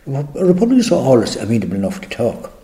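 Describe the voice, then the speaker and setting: An older man with an Irish accent, answering interview questions in a halting, reflective way with many restarts. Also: medium pitch, wildly swooping pitch, mellow. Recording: echoey room.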